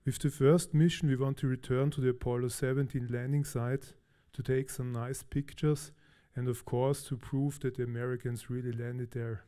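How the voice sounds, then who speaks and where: Austrian-born man, mellow conference presenter, relaxed with fillers. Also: mid-range voice, normal speed, clean tone. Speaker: conference speaker. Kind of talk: technical conference talk. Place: large conference hall.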